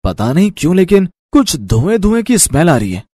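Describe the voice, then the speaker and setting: A man's voice: sits high, very animated, fairly quick, warm tone, breathy edge, dry recording, heavily acted. A man voicing a young urban husband who mixes English words into his talk, in a family story. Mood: puzzled.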